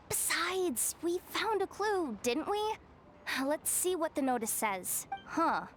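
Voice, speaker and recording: A woman voicing a sharp-tongued, sardonic young woman guide in a video game, speaking in a dry, commanding way. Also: high voice, slight background noise, full character voice. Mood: businesslike.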